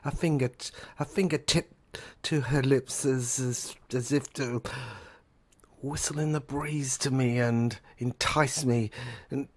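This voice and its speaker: A woman performing dramatic first-person narration, haltingly, often repeating words and trailing off. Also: very deep voice, steady tone. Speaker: narrator of an audio screenplay.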